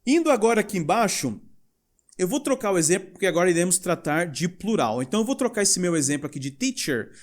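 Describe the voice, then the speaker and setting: A young male English teacher with a Brazilian Portuguese accent, explaining grammar in a lively way and reading example sentences slowly. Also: high voice. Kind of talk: recorded language lesson.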